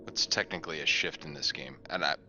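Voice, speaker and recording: A man's voice: extremely low pitch, fast talker, pushed and bright, faint music behind. A man playing in a tabletop game, asking questions hesitantly and breaking off mid-sentence. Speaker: player. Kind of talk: casual chat at a game table.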